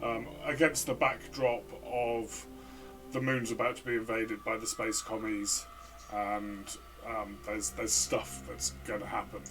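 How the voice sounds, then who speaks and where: A man's voice: on the low side, flat delivery, unhurried, recorded from a distance, over music, poor audio. A man co-hosting a casual game review chat, hesitant and full of fillers and restarts. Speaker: podcast co-host, player.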